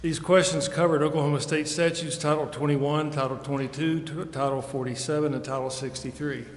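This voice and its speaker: A man in the role of police chief, delivering a formal, measured ceremony speech in a council chamber. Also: on the high side, steady tone. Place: large indoor council chamber. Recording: echoey reverberant hall, clearly noisy.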